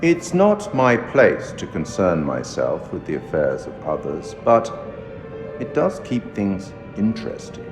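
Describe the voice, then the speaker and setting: An actor playing a sinister, urbane keeper of tales in a horror game, speaking in measured, formal, wry and ominous monologue. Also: male voice, low voice, wildly swooping pitch, full character voice. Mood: wry, confiding. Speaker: curator, narrator of a horror game. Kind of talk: theatrical scripted game monologue. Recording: echoey long reverb, music behind. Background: orchestral music.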